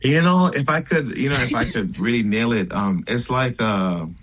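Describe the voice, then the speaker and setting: A man's voice: mid-range voice, moderate pace, fairly bright tone. Laid-back man on a video call. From Hawaii. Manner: laid-back, easygoing, lots of fillers. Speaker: musician guest. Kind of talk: casual interview chat.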